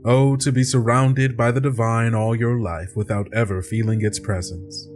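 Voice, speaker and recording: A man hosts and plays on a tabletop story podcast. His voice sits at a medium pitch and his delivery is conversational, with music playing softly behind him. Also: steady tone, normal speed. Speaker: podcast host and player. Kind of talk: conversational actual-play podcast talk.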